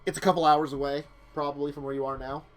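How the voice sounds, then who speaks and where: A man's voice: on the high side, level tone, fast. A man running a tabletop vampire game as game master, talking casually with many fillers.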